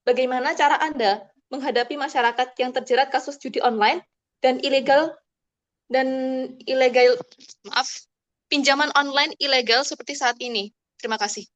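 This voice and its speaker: A woman's voice: high-pitched, fairly even intonation, fairly quick, fairly bright tone. A young woman with an Indonesian accent, a native Indonesian speaker, asking a question politely in formal, hesitant English over dull audio. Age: young adult. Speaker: student audience member asking a question.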